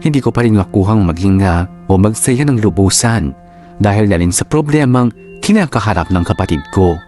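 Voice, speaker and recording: A man's voice: fairly low pitch, lively intonation, moderate pace, darkish tone, faint music behind, natural voice. A man with a Filipino accent reading scripted, reflective first-person narration for a radio drama. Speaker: story narrator.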